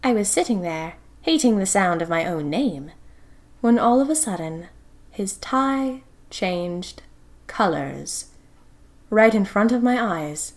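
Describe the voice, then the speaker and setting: A woman's voice: mid-range voice, very animated, slow pace. Audio drama lead: actress playing adventure-hungry young woman of the twenties, theatrical and wistful first-person diary storytelling. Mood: breathless storytelling. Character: spirited young single woman of 1920s, romantic, adventure-hungry diarist.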